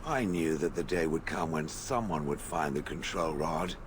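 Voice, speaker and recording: An actor playing an ancient, sardonic stone golem in a video game, speaking formally in a contemptuous deadpan. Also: moderate pace, background noise. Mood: sardonic, weary. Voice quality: deep, gravelly. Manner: haughty deadpan, formal phrasing, addresses people as "it". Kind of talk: video game character acting.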